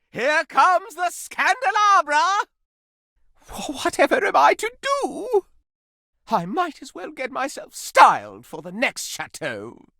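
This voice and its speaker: A man's voice: very high-pitched, pushed and bright, dry recording, studio quality, heavily acted. A man voicing a flamboyant, lustful living candelabra in a video game, with florid, pompous, innuendo-laden diction and theatrical melodrama. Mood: haughty, scandalized.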